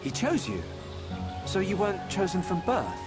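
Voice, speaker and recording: Earnest young game hero, kinda high for a man, lively pitch, thoughtful, music under it. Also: moderate pace. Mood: curious, friendly. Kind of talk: video game cutscene acting.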